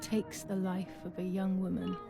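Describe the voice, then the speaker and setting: A woman playing a troubled, brooding young woman in a wartime psychological game, narrating in a measured, dramatic, introspective way. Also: lowish voice, completely flat delivery, vocal fry, music in the background. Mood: somber storytelling. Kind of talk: scripted first-person game narration.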